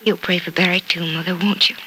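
A woman playing an eager, hopeful, devoted young hospital patient in a classic radio soap opera, with wistful, halting delivery. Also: lowish voice, steady tone, pushed and bright, rough recording. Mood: hopeful, grateful. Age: young adult. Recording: old radio recording with faint noise.

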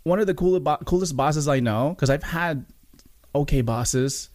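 A man's voice: on the high side, moderate pace, a little mellow, dry recording, clean studio sound. A man speaking as a podcast host, introducing the show and chatting with his guest. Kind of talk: podcast intro and interview chat.

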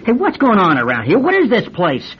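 Brash, husky race driver, muffled. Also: male voice, high-pitched, wildly swooping pitch, brisk, full character voice. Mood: defiant. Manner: blunt, agitated, colloquial. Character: brash, defiant race car driver refusing death. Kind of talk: radio drama acting. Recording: muffled old radio drama recording.

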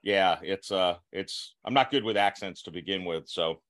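A man's voice: low voice, normal speed, a bit bright. A man from Florida hosting a podcast, chatting casually in a joking, self-deprecating way and laughing often. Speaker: podcast host. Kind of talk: casual interview chat.

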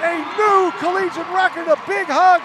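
A man giving live race commentary as a sports announcer, in an excited, raised voice. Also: very high-pitched, normal speed. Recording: loud crowd, noisy indoor event clip. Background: roaring crowd.

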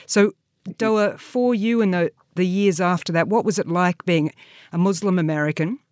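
An interviewer puts questions in a measured, concise way, with a voice at an everyday middle pitch. The recording is dry, with no room sound. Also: no echo.

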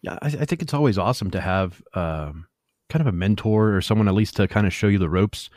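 A man's voice: fairly low pitch, fairly quick, a little mellow, no echo, studio quality. A man in his thirties interviewing a guest in a casual, friendly way, stumbling and repeating his words as he talks. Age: 30s. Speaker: youtube channel host, interviewer.

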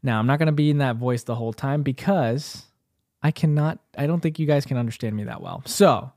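A man's voice: mid-range voice, fairly quick, a little mellow, no room sound, clean studio sound. A young man co-hosting a casual podcast, upbeat and chatty, restarting his sentences often. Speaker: podcast co-host.

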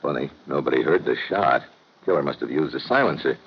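An actor playing a laconic, wry American secret agent in an old-time radio drama. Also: male voice, extremely low pitch, expressive, brisk, breathy edge, heavily acted. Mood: terse, grim. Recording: muffled, noisy old radio recording.

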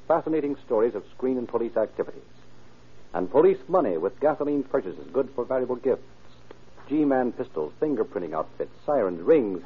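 A man's voice: medium pitch, slightly rough, phone-quality sound. A man announcing and narrating in formal, emphatic 1930s radio sales style. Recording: band-limited old radio broadcast.

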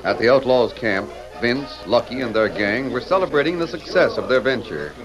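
A man's voice: mid-range voice, animated, normal speed, lo-fi sound. A man narrating a scripted old-time radio drama as its storyteller. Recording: vintage radio recording with loud music.

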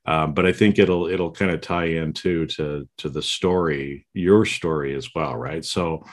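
A man's voice: rumbling low, fairly even intonation, a little slow. A man hosting a podcast interview, upbeat and chatty, laughing easily and often repeating words. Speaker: podcast host.

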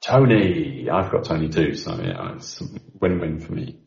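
A low-voiced man with a gravelly edge chats casually and jokes, often trailing off on fillers. His voice rings in a roomy space, and the recording sounds dull and lo-fi. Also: measured pace. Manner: relaxed, joking, trailing fillers. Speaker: podcast co-host. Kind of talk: casual chat. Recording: echoey dull lo-fi.

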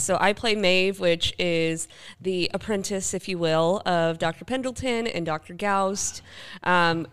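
A woman's voice: mid-range voice, fairly bright tone, clean tone. A woman hosting a podcast in a casual, conversational style. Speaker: podcast host. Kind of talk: casual chat.

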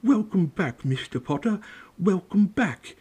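A man voicing an old, reverent, emotional bartender who speaks in a halting, awed way, for a storybook. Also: high voice, very animated, warm tone, husky, pitched above the performer's own voice, heavily acted. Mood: tearful welcome.

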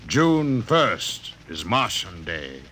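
A man's voice: on the low side, very animated, extremely slow, bright, forceful tone, full character voice. A man acting a suave, secretive, sinister client in a classic radio drama, speaking formally and precisely. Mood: precise, businesslike. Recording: noisy vintage radio recording.

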